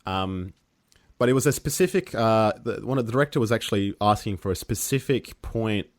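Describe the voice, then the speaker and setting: A man's voice: fairly low pitch, animated, a little fast, no room sound, clean studio sound. A man whose first language is Serbian, a musician chatting as a guest, rambling with many fillers and restarts. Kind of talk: casual interview chat.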